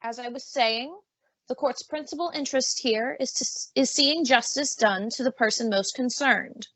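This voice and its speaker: Woman as a kindly formal judge, measured, highish voice, old radio drama, no room echo. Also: a bit bright.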